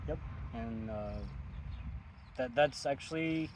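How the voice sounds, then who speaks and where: A young man from Ethiopia speaks in a measured, thoughtful way, often pausing with an um. He sounds like a chef explaining his cooking outdoors. Traffic noise runs clearly underneath. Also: mid-range voice. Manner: thoughtful, measured, with frequent ums. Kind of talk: casual interview chat outdoors.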